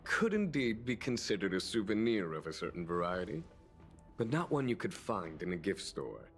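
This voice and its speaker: Casting: composed game general, lowish voice, formal and courteous, stately phrasing, music underneath. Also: male voice, very animated. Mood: solemn, measured. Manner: formal, measured, ceremonious. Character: calm, courteous, dignified male general of a fantasy fleet. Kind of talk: scripted video game voice acting.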